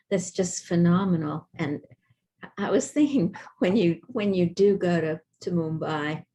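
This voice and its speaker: Low-voiced measured woman. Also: darkish tone. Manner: measured, thoughtful. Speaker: club member. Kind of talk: casual group chat.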